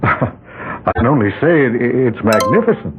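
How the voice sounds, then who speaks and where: An actor playing a courteous, unruffled gentleman lawyer in an old radio drama, polite and measured with slight hesitations. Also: mid-range voice, lively intonation, narrow, tinny audio, lightly acted. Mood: courteous, bemused. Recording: thin, band-limited old radio recording with hiss.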